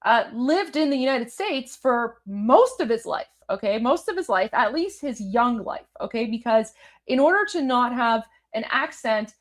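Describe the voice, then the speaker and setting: A woman with a Canadian, Toronto-style accent hosts a live commentary stream. She pitches her voice a little high and talks in an animated way, repeating herself and using lots of fillers. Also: normal speed. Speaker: host. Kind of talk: live commentary on a news clip.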